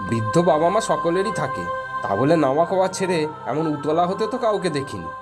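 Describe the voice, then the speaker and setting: A sensible, wry doctor in an animated cartoon. His voice sits a little high and he talks in a matter-of-fact, drily teasing way. Music runs clearly underneath. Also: fast talker. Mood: exasperated, wry. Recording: music behind the voice.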